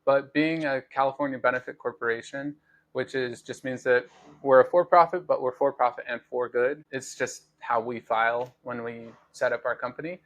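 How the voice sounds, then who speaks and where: A man's voice: mid-range voice, steady tone, normal speed, fairly bright tone. A man hosting a podcast, explaining his work in an earnest, thoughtful way with frequent fillers and restarts. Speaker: podcast host, grower. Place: Los Angeles studio.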